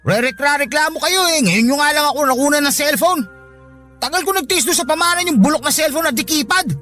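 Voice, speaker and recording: A very high, sharp Filipino voice plays a spoiled, bullying adult child in a radio drama. The delivery is rude, demanding and combative, with faint music underneath. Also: female voice, fast talker. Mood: defensive, resentful. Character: insolent, lazy adult child bullying their elderly mother.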